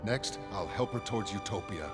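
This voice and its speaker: A man voicing a self-assured, cultured male mastermind in a motion comic, speaking in measured, formal, theatrical phrases. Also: on the low side, expressive, natural voice. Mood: triumphant. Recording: long reverb under music.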